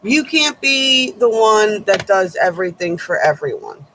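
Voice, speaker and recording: A woman tarot reader with a low voice, giving blunt, sharp-tongued advice in a casual chat to her viewers. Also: expressive, unhurried, slight background noise, poor audio.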